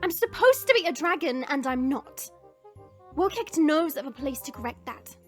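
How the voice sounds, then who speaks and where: A woman's voice: high-pitched, very animated, moderate pace, soft background music. A woman playing a young female dragon in human form, polite but teasing, in an audio roleplay. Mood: polite, earnest.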